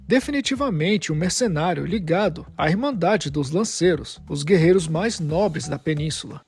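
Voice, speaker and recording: A man's voice: sits high, darkish tone. A man narrating a scripted dramatic story as its storyteller.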